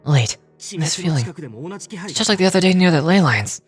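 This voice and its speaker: An actor playing a curious young watcher in a video game, anxiously wondering and thinking aloud. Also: male voice, high-pitched, lively intonation, moderate pace, faint music behind. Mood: worried, wondering. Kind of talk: dramatic game voice acting.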